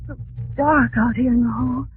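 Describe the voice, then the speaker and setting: A woman's voice: on the high side, steady tone, moderate pace, close to an everyday voice. A nervous, plucky young heroine played by a woman speaks in the anxious manner of old radio melodrama. The recording is thin and phone-like, with faint music. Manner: anxious thinking aloud, questioning. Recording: tinny band-limited old radio, music behind.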